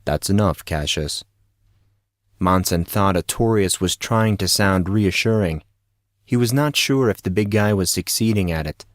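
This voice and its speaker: Male audiobook narrator, lowish voice, dramatic, suspenseful, deliberate, dry close recording. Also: no room sound. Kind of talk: dramatic scripted narration of fantasy fiction.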